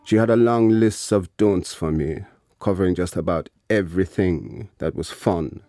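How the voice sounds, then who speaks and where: An actor playing a Trinidadian activist who looks back on his stifling childhood with resentment, in measured, reflective storytelling over music. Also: sits low. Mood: resentful reminiscence. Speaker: actor reading an autobiography. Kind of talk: dramatic autobiography narration. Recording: music under the voice.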